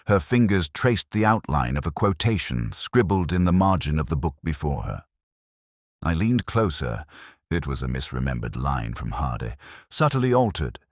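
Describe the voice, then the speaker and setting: A man acts out a brooding, literary police inspector telling his case in a scripted mystery drama. The voice is exceptionally deep, yet it rises and falls expressively. He is measured and reflective, full of quiet doubt, and the sound is dry and close. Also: no echo, full character voice. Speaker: narrator. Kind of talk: scripted first-person detective mystery narration.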